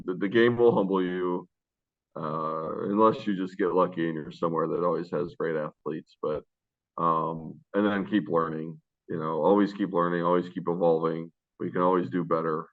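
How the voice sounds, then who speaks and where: A man, a high school basketball coach, answering interview questions in a loud, deep voice, rambling with fillers and restarts. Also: steady tone, normal speed. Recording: tinny phone-line sound.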